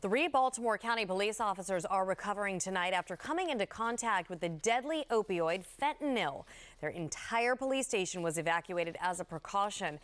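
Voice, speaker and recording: A woman's voice: mid-range voice, animated, a little fast. A woman anchoring a TV newscast and reading scripted stories. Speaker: TV news anchor.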